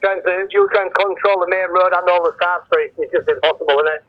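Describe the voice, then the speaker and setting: Muffled British man on two-way radio, quick-talking. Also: sits high, flat delivery, dry recording. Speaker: road works traffic controller. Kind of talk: casual work radio chatter. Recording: muffled, band-limited two-way radio transmission.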